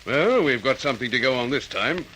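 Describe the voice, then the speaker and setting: Australian male detective, courteous and methodical, lively delivery, bright voice, radio drama. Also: mid-range voice, a little fast, slight background noise, poor audio. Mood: businesslike, probing.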